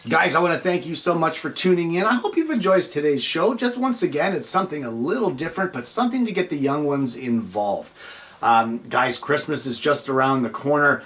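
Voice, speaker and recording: A man's voice: on the high side, animated, brisk, a bit bright, far from the mic. A middle-aged or older man hosting his show, talking casually and earnestly to his viewers. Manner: earnest, addresses viewers as guys. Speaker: show host. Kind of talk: casual address to viewers and animated children's storybook reading.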